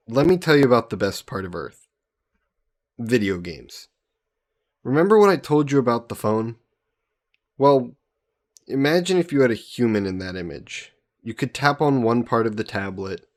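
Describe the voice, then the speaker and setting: A man's voice: medium pitch, darkish tone, natural voice. A man playing a friendly, patient human hero from Earth in a roleplay audio drama, explaining things conversationally to a listener.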